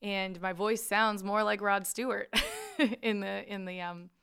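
A woman guesting on a radio show as a musician, chatting in a friendly, rambling way. Her voice is smooth and resonant with a slight grit, at a middle pitch. She talks through her stories easily and loosely. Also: fairly even intonation, measured pace, fairly bright tone. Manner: friendly and rambling, with frequent laughs. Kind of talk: casual interview chat. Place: radio studio.

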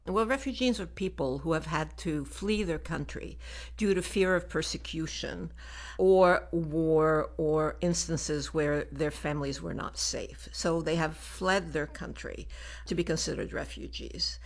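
An older woman who grew up in Lebanon, speaking as a guest in a radio studio interview and explaining things in a measured way. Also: deep voice. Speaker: refugee program director, guest.

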